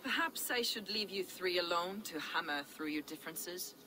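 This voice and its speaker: An actress playing an enigmatic, devout heretic woman in a video game, speaking in a measured, cryptic, archaic way. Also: on the low side, animated, bright, forceful tone, rough recording. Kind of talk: dramatic video game acting. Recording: clear ambient noise behind.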